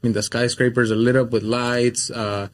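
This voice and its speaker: A man's voice: medium pitch, level tone, normal speed. A man born in Mexico, a guest on a talk show, chatting casually and rambling with many fillers. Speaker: guest, business owner. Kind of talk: casual chat.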